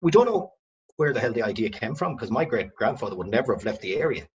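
A man's voice: medium pitch, big pitch swings, quick pace, breathy edge, lo-fi sound. A middle-aged Irish man from the west of Ireland, a company director telling his family history in a rambling, self-correcting way on a video call from home. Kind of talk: casual video-call interview. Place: home room.

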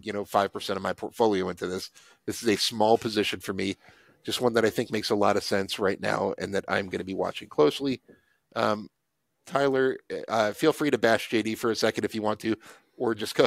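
Low, gravelly man in his forties, measured host voice, dry headset sound. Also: no echo. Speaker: investing show host. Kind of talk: casual video call discussion. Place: home office. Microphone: headset mic on video call.

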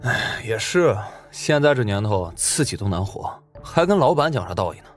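A man's voice: on the low side, sing-song, moderate pace, faint music behind. A man playing an easygoing, hesitant working man and loyal buddy, talking colloquially in an audiobook drama.

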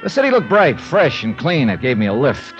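A man's voice: medium pitch, wildly swooping pitch, fairly quick. An actor playing a wry, confident insurance investigator in a 1950s radio drama, wisecracking and clipped as he acts and narrates. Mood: dry, narrating. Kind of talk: 1950s radio detective acting with first-person narration. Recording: muffled vintage 1950s radio recording, organ music.

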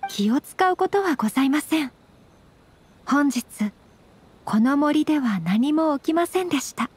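A woman playing a gentle, modest young noblewoman in an anime game, very polite, formal and composed. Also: high-pitched, animated, moderate pace. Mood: polite, firm.

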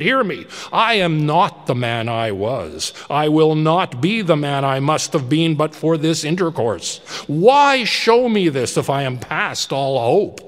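An impassioned old miser cries out in a raised, lively voice, as a man reads him aloud. The pitch swings widely and the voice rings through a long, echoing reverb. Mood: pleading. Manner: impassioned, exclamatory.

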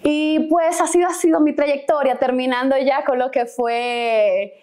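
Young Dominican woman, bright voice. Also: high voice, steady tone, a little slow. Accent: Dominican Spanish. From Dominican Republic. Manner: chatty, many fillers like "eh" and "pues". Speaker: guest. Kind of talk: casual interview answers. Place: indoor hotel-style room. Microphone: lapel mic.

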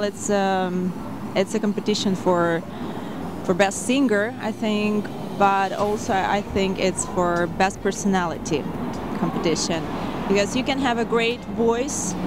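A woman's voice: mid-range voice, fairly even intonation, a little slow, very noisy. A young woman giving earnest casual interview answers in non-native phrasing, outdoors on a handheld mic. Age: young adult. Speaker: audition contestant. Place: outdoors beside a wall with a queue. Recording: music behind, outdoor street ambience.

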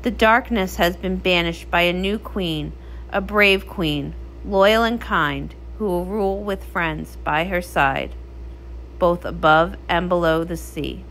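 A woman's voice: lowish voice, slow, a bit bright, slight background noise, rough recording. A woman reading as the narrator of a comic read-along, in a dramatic storybook style.

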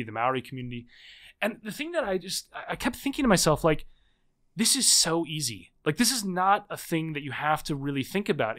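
A man in his thirties, a book author, explaining his ideas in a podcast interview with lively restarts. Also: a little high. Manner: animated, fast explaining with restarts. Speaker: guest author.

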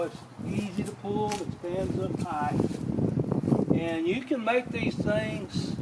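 A man's voice: high-pitched, background noise. An older man giving a rambling, folksy demo in a yard, correcting himself as he goes. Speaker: vlog host. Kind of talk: casual outdoor demo. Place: outdoors on a grassy yard.

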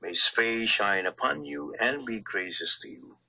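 A man with a husky voice, speaking as a priest on a religious radio programme, heard through a radio speaker. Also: mid-range voice, lively intonation, normal speed, bright, forceful tone. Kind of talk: religious radio talk with blessing. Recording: muffled radio speaker re-recorded on camera.

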